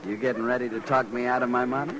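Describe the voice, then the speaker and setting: A man's voice: mid-range voice, fairly even intonation, quick pace, close to an everyday voice, rough recording. Man as a smug american cavalry officer, medium voice, needling, crowd around, old radio drama. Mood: smug, challenging. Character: cocky, needling young army cavalry lieutenant. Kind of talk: 1950s radio western acting.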